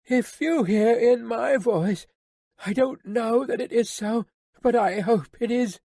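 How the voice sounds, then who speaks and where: A woman's voice: fairly high pitch, slow pace, warm tone, dry recording, clean studio sound, pitched above the performer's own voice. A woman reading a young daughter who pleads tenderly. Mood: pleading. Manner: earnest, imploring, repeating refrains. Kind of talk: audiobook character reading.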